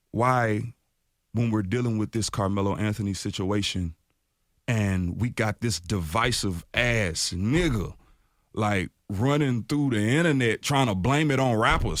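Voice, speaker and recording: A man's voice: fairly low pitch, no echo. A man from Oak Cliff, Dallas, a rapper talking with passion as a guest in a radio studio. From Oak Cliff, Dallas, Texas. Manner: impassioned, animated, mimics others in raised voice. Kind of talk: freestyle and radio interview talk.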